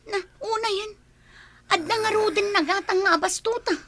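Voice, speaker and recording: A woman's voice: very high voice, normal speed, background noise. An actress with a Filipino accent playing a hardworking, nagging young girlfriend in a radio drama, speaking earnestly. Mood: frustrated.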